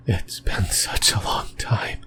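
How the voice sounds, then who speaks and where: A man voicing a lonely, possessive demon in a breathy, brooding whisper for an ASMR roleplay. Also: sharp tone. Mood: tender, yearning. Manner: slow, halting, brooding delivery. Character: lonely, possessive sleep paralysis demon, lost and yearning.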